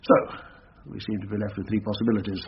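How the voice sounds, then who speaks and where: An actor with a British accent playing a brisk, direct, matter-of-fact police investigator in a radio drama. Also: male voice, fairly low pitch, level tone, close to an everyday voice. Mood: probing, official. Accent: British English. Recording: muffled radio broadcast, hissy with clicks.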